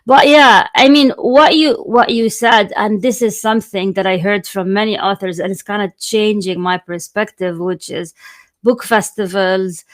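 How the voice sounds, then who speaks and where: A woman's voice: mid-range voice, steady tone, normal speed. A woman hosting an interview in a casual, informal, chatty way. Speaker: podcast host and journalist.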